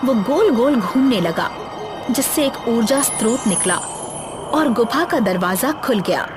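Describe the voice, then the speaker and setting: A woman's voice: on the high side, expressive, a little slow. A woman reading a scripted, dramatic story as its narrator. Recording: music bed behind the voice.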